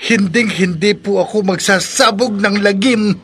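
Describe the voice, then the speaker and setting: An actor playing an anguished, defiant young son in a horror radio drama, with an impassioned, pleading delivery. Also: male voice, sits high, a little slow, heavy background noise. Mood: resolute, grieving. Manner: impassioned, pleading, respectful to elders.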